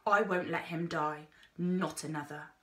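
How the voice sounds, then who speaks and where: A woman's voice: sits low, steady tone, measured pace, pushed and bright. Terse, commanding male explorer, read by a woman. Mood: measured. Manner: terse, authoritative. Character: gruff, commanding grown man, a jungle explorer.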